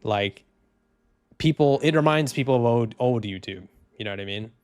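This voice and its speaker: A man streaming casually, reading chat comments in a low, even, unhurried voice with lots of fillers and restarts. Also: clean tone, soft background music. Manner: laid-back, rambling, many fillers and restarts.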